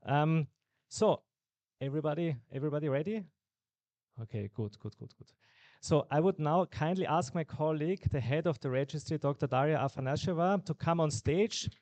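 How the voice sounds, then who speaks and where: A man's voice: a little high, moderate pace, darkish tone, no room sound. A man with an Austrian accent hosting a graduation ceremony in a chatty way, often tagging his sentences with "right?". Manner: chatty, keeps asking "right?". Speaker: master of ceremonies.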